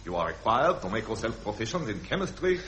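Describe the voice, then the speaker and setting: Strict royal father, formal, pedantic, very varied pitch, vintage radio drama with slight hiss. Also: sing-song, fast talker, fairly bright tone. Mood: stern, disapproving. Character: stern, exacting princely father lecturing his son. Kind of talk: 1970s British radio drama acting. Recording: faintly noisy vintage radio recording.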